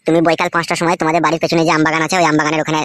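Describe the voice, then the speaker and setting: A slick village Romeo from a comedy cartoon , acted by a man. He talks very fast in a high male voice, brash and smooth-tongued. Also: completely flat delivery, fairly bright tone, no room sound, studio quality, natural voice. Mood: wooing. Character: smooth-talking, foul-mouthed village womanizer, a young man.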